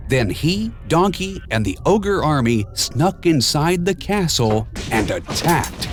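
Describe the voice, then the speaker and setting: A man reading a children's storybook aloud in a measured, expressive storytelling manner, with clear and simple phrasing. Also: fairly high pitch, soft background music. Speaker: storybook narrator. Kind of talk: scripted children's read-along storybook narration.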